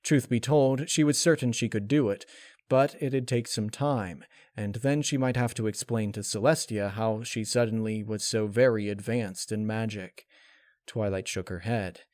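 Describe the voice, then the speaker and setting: A man's voice: lowish voice, moderate pace, a little mellow, no echo, studio quality. A man reading a piece of fan fiction aloud as a scripted narration. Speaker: storyteller.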